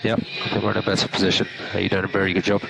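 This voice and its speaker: Brit guy race engineer on team radio, deep-ish voice, calm n reassuring, super loud noise. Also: very fast, creaky. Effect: team radio transmission. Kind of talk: motorsport team radio instructions. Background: loud vehicle and radio noise.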